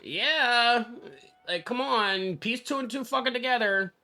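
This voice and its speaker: A man streaming a video game with casual, blunt, excitable, foul-mouthed reaction commentary. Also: extremely high pitch, a little slow. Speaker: gaming streamer.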